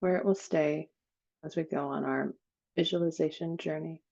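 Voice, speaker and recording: A woman leading a guided meditation in a slow, soothing manner, with long pauses between phrases. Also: low voice, fairly even intonation, creaky, dark tone, lo-fi sound.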